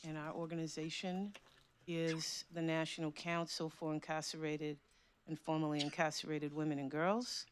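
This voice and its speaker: A woman's voice: on the low side, a little hiss or hum. A woman panelist speaking as an organizer, fervent and emphatic, repeating words for stress. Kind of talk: panel talk.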